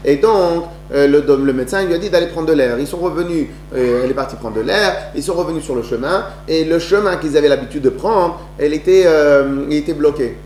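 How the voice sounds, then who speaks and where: Conférencier, voix un peu haute, français, salle réverbérante avec léger bourdonnement. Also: male voice, fairly high pitch, fairly quick, hardly any pauses. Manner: lively storytelling, many restarts and rhetorical questions. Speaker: lecturer. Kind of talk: religious talk to an audience. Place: lecture hall. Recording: echoey room with faint hum.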